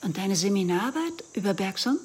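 A woman's voice: medium pitch, very animated, brisk. An actress playing a principled, stern but caring stepmother figure, earnest and controlled, in a radio drama. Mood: reproachful. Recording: clear background noise.

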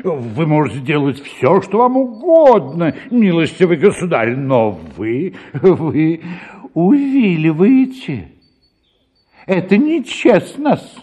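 Radio drama: middle-aged papa, excitable and blustering, higher-pitched, husky delivery. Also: male voice, slow, heavily acted. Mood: indignant. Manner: effusive, chuckling, blustering. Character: middle-aged father of seven daughters, fawning then indignant. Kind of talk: theatrical radio drama acting.

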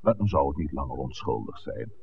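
An actor playing a shrewd, dignified village clergyman in a 1960s radio drama, speaking in measured, formal, lecturing phrases. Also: rumbling low, lively intonation. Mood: measured, guarded. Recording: noisy, narrow-band old radio recording.

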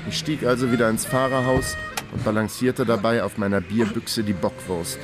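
An actor playing a wry, hapless investigator who narrates his own case in a deadpan way in a radio crime drama. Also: on the low side, moderate pace, close to an everyday voice. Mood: weary, wry. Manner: laconic, deadpan. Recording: music behind the voice.

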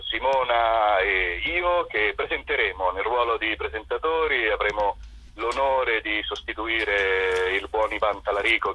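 A man's voice: lowish voice, pushed and bright, no echo, poor audio. A man talking casually as an interview guest, in a playful, hesitant way, often repeating and restarting his phrases. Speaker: actor and author, interview guest.

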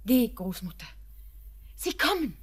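An actress playing an eager, dreamy young granddaughter in a 1940s radio drama, breathy and high. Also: female voice, sing-song, unhurried, heavily acted. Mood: excited, urgent.

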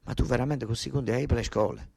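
A man's voice: medium pitch, fast, vocal fry, darkish tone, breathy edge, dry recording, studio quality. A man voicing a simple southern Italian mother in a stage monologue, chatty, naive and colloquial. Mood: admiring, wistful.

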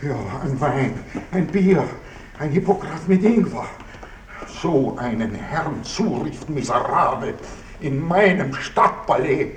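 A man's voice: a little high, animated, takes it slowly, a little hiss or hum. An actor playing a fawning, agitated, newly rich father, flustered and indignant, in a theatrical radio play. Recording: distant, echoey 1960s radio drama.

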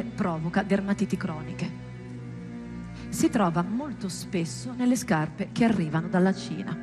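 A woman delivering a theatrical monologue as a stage performer, in a dramatic, deliberate and emphatic manner. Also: medium pitch.

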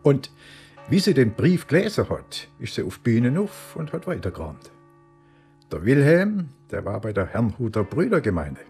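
An actor playing a good-humoured provincial police inspector with a Swabian accent and a folksy, rambling manner in a radio play. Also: male voice, mid-range voice, huge pitch swings, moderate pace, a little mellow, breathy edge, soft background music, full character voice. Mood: explaining.

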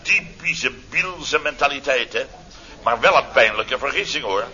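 An actor playing a blustering, pompous company boss in a radio comedy, speaking theatrically and indignantly with drawn-out emphasis. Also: male voice, medium pitch, lively intonation, bright, forceful tone. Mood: aggrieved. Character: blustering, pompous male boss of a building firm. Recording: dull old radio recording, faint noise.

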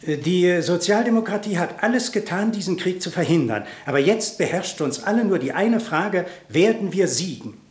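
An earnest, declaiming pastor. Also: male voice, sits high, expressive, moderate pace, hardly any pauses, darkish tone, echoey room. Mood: earnest reading. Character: village pastor reading a newspaper aloud. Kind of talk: classic radio drama acting.

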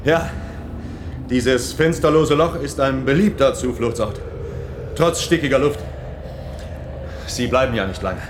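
An actor playing a protective, earnest helper in the underground in a German science-fiction radio drama, speaking with solicitous urgency. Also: male voice, mid-range voice, unhurried, long pauses, noisy background, natural voice. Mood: brisk, welcoming.

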